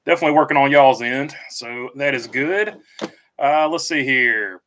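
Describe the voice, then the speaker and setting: Man, bright halting voice. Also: medium pitch, a little hiss or hum. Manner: halting, many fillers, reads out viewer comments. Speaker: livestream host. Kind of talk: casual live stream chat.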